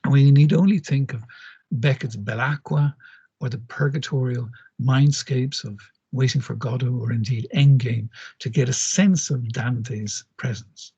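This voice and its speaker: A man giving an academic lecture, measured and scholarly, pausing on "uh" and correcting himself as he goes. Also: medium pitch, dark tone, breathy edge, muffled recording. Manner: measured, with frequent "uh" pauses and self-corrections. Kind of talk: academic lecture, partly read. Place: lecture delivered online or in a lecture room.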